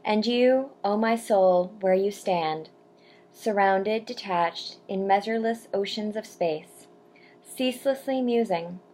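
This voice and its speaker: A young adult woman talks casually to her viewers in an easy, rambling way, full of fillers and side comments. Her voice sits at an ordinary middle pitch for a woman. When she reads verse aloud, she slows to a careful, deliberate pace. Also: a little mellow, poor audio. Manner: chatty and rambling, with frequent ums and asides; reads poetry slowly and deliberately. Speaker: vlogger answering viewer questions. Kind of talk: casual chat to camera.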